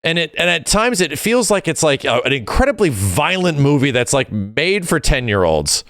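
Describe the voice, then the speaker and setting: A man's voice: on the high side, sing-song, normal speed, fairly bright tone, no echo, studio quality. A man co-hosting a podcast in casual, rambling, emphatic and opinionated movie chat. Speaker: podcast co-host.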